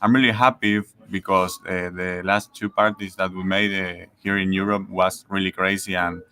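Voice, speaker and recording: Argentine man with bright, halting English. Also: sits low, level tone, clean tone. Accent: Argentine Spanish. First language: Spanish. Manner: halting, searching for words. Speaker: podcast guest.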